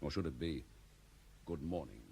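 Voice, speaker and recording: A man's voice: extremely low pitch, moderate pace, gravelly, mellow, a little hiss or hum. A man playing a dogged, blunt chief inspector in a vintage radio drama. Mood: wry.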